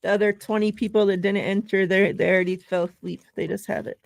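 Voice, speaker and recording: A woman's voice: medium pitch, steady tone, fast talker, gravelly, no room sound. A woman hosting a casual sports podcast livestream, who laughs often and draws out her words while running giveaways. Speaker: podcast host. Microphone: remote livestream call.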